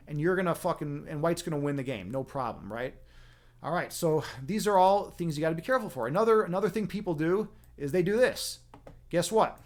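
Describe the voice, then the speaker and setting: A man hosting a casual livestream, explaining chess lines as a teacher, rambling with fillers and frequent tag questions. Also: high voice.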